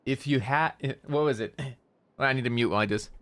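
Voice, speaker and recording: A man's voice: medium pitch, fairly quick, fairly bright tone. A young man from Canada with a slight rural Canadian accent and a nasal voice, chatting casually and rambling while streaming a game, swearing often and mocking himself. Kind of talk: casual gaming stream chat.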